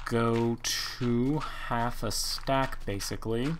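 A man's voice: medium pitch, fairly even intonation, slow pace, slight background noise. A man casually commenting on his game as he plays, thinking aloud and counting under his breath. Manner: thinks aloud, counts blocks, rambling asides. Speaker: Minecraft let's play host.

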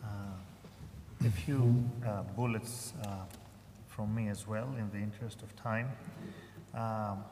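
A man speaking as a professor on a conference panel, pausing often with fillers and restarts. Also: lowish voice, fairly even intonation, warm tone. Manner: hesitant, many fillers and restarts. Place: large conference hall. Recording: echoey hall, faint room noise.

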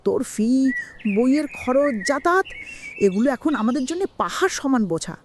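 A woman's voice: sits high, sing-song, a little fast, slight background noise. A woman playing a worried, loving middle-aged mother in a cartoon drama, speaking in an emotional, plaintive way. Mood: anxious, sorrowful.

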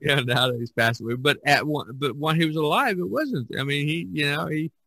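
A man's voice: fairly high pitch, a little fast, vocal fry, sharp tone, no room sound. Older man chatting, close dry sound. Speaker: podcast host. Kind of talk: casual podcast discussion.

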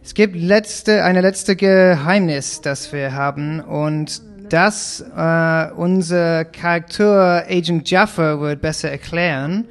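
A man hosting a radio interview in halting German, with many fillers and slips. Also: a little high. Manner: halting non-native German with fillers and English asides.

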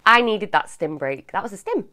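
Loud, animated woman in her thirties. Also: medium pitch, normal speed. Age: 30s. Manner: animated, loud, jumps between topics. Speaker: advice show host. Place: at home, walking through a child's room.

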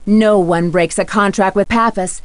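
A woman playing an earnest, sharp young female detective in a video game, speaking inquisitively and with clear diction. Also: mid-range voice, fairly even intonation, normal speed, no echo, close to an everyday voice. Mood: grave, accusing.

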